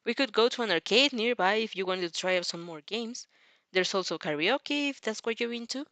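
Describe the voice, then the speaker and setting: A cheerful teenage boy acted out by a woman for an audio story. He is a friendly gamer who likes to show off a little, talking in casual slang and quick to encourage, recorded close and dry. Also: mid-range voice, expressive, a bit bright, no echo, close to an everyday voice. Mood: upbeat.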